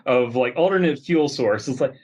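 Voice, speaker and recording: A man's voice: mid-range voice, lively intonation, moderate pace. A man co-hosting a comedy podcast, riffing casually in a playful, rambling, mock-explanatory way. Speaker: podcast host.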